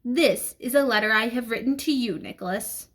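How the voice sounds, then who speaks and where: A woman reading the part of a strict female schoolteacher in a storybook, formal, measured and admonishing. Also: fairly high pitch. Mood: measured, firm.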